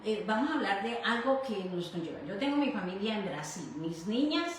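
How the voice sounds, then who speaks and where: A Colombian woman hosts a casual faith talk in an effusive, rambling style. Her mid-pitched voice sits back from the microphone in a very reverberant room, with faint noise. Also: expressive, brisk. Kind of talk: casual conversational hosting. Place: echoey room in her home. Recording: very echoey room, distant mic, faint noise.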